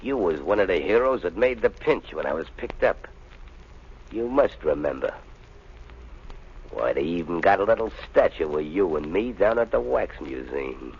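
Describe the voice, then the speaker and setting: Muffled old radio drama, vengeful gangster, low husky voice, slangy, crude, hot-headed. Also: male voice, sing-song, normal speed, exaggerated voice. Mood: menacing, taunting. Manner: rough slangy tough-guy talk. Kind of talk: 1930s radio crime drama acting. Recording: muffled vintage 1930s radio recording.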